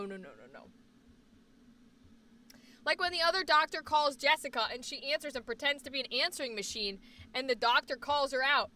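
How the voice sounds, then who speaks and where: A woman's voice: high voice, sharp tone, far from the mic. A woman hosting a casual livestream chat, talking in a rambling, emphatic, opinionated way, with a slight Boston accent. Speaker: livestream host.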